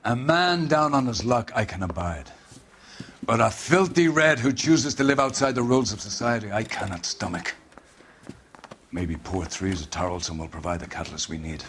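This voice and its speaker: Low, pompous, crusading police captain. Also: male voice, moderate pace, fairly bright tone, slightly rough. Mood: disdainful. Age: middle-aged. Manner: pompous, preachy, oratorical. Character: pompous, zealous middle-aged 1940s police captain. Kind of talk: theatrical game acting, grand speech.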